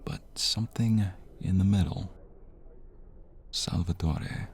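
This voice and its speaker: A man playing a tender, weary young mobster, a devoted and remorseful boyfriend, in a soft, intimate ASMR romance roleplay. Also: a little hiss or hum, exaggerated voice. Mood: fond. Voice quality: very deep, husky, breathy. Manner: soft, slow, intimate, sighing.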